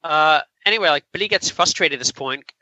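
A man gives a casual plot recap on a podcast. His voice is strikingly bright and a little high for a man, and the sound is very dry, with no room echo. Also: fairly quick. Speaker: podcast co-host.

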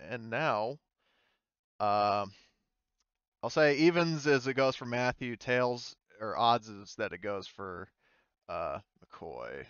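A man's voice: medium pitch, fairly bright tone, smooth, no echo, lo-fi sound. A man running an online tabletop game as game master, talking casually and hesitantly as he thinks aloud.

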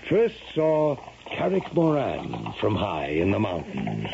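Gravelly, proper Victorian father. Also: on the low side, wildly swooping pitch, slow pace, exaggerated voice. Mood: reflective. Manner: formal, theatrical. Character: proper, exasperated Victorian American gentleman father. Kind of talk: 1970s radio drama acting. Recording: noisy, dull vintage radio broadcast.

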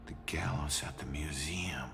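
Very deep, gravelly hard-bitten detective. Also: male voice, unhurried, over music, full character voice. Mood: tense, thinking. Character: gruff, hard-bitten male ghost detective, world-weary. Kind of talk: dramatic video game acting.

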